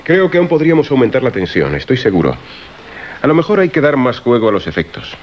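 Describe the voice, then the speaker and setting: An actor playing a level-headed, assured radio director in a radio drama. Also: male voice, medium pitch, huge pitch swings, fast. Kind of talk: Spanish radio drama acting. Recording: muffled radio recording, faint noise.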